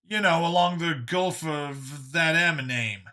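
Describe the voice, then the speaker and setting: A man voicing an excitable, easily shocked doctor in an audio story, high and strained, with halting, exclaiming speech. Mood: earnest recalling. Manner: exclaiming, halting when searching for words.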